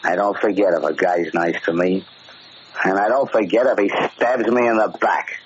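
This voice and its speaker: An actor playing a paranoid, bullying gangster in a gruff, slangy, commanding way, in 1940s radio drama style. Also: male voice, low voice, fairly even intonation, normal speed, lightly acted. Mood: weary. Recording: noisy, muffled old-time radio recording.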